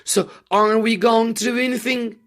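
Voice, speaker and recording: A man voicing an anxious, excitable and loyal royal aide in a storybook reading, with urgent, pleading exclamations. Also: very high voice, moderate pace, voice pushed up. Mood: agitated.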